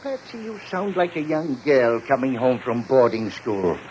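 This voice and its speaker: An actor playing an aging, boozy barfly philosopher in a 1940s radio drama, speechifying in a florid, pompous, mock-tragic way. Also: male voice, mid-range voice, lively intonation, measured pace, a little mellow, close to an everyday voice. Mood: lofty scolding. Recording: muffled, noisy 1940s radio recording.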